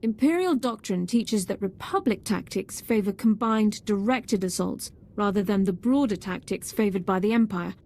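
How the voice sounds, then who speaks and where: An actress playing a composed, analytical military tactician, speaking formally and precisely in a video game. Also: female voice, mid-range voice, lively intonation, normal speed, hardly any pauses, a little mellow, natural voice.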